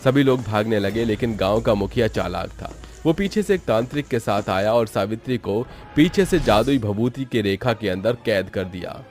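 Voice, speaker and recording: A man's voice: on the low side, normal speed, hardly any pauses, a little mellow, soft background music. A man narrating a scripted horror story for a cartoon.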